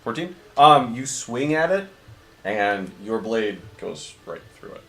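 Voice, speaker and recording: A man's voice: mid-range voice, expressive, slow pace, a bit bright. A man acting as game master, casually running a tabletop roleplaying game. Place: around a table indoors at a home game.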